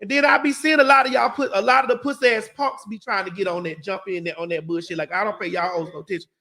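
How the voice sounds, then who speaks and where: A talk-show host ranting in heated, profane, emphatic casual talk. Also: female voice, medium pitch, steady tone, fast, bright, forceful tone.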